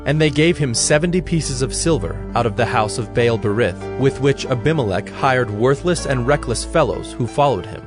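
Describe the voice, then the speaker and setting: Male narrator for dramatized scripture, medium pitch, measured and solemn, light music underneath. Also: animated.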